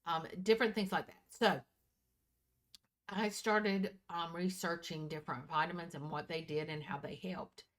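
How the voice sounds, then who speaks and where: A woman in her early sixties with a voice a little lower than most women's. She talks casually and candidly, as a vlogger does to regular viewers. Her delivery is folksy, and she repeats and corrects herself as she goes. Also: fairly even intonation, normal speed. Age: early 60s. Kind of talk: casual personal chat to viewers.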